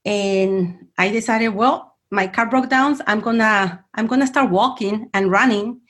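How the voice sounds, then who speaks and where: A woman's voice: medium pitch, measured pace, fairly bright tone. A woman from Mexico, a podcast guest telling her story in a friendly, grateful way that laughs easily. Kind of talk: conversational interview answers.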